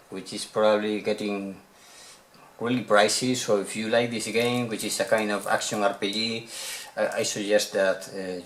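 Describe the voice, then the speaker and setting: A man from Spain with a Spanish accent, a Spanish speaker talking in English in a casual unboxing vlog about his newest video games, often halting and repeating words as he searches for them. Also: on the low side, a bit bright, slight background noise. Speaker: video game collector showing purchases.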